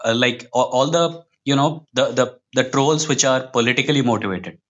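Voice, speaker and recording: A man's voice: medium pitch, normal speed, dull audio. A man with an Indian accent talking conversationally as a podcast guest, reflective and opinionated as he lays out his points. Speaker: guest, comic book writer.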